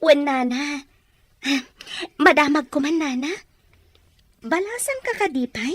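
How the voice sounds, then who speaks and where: A high, gentle young woman's voice acted by a woman for an Ilocano audio drama. She sounds humble, sweet and affectionate, recorded close and dry. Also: expressive, a little slow, no echo. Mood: happy, tender.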